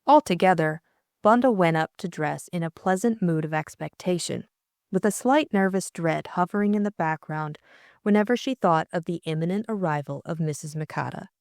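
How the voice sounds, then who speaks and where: Woman, low pitch, audiobook narration, measured, expressive, very dry recording. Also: no room sound. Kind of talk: scripted literary narration.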